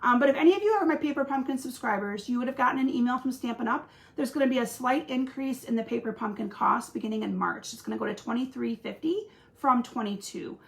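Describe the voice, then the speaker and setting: Female craft-video host, friendly chatter to viewers, a bit high with vocal fry. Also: quick pace. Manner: chatty, talks to viewers by name, frequent ums. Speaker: stamping demonstrator and channel host. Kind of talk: casual crafting livestream chat.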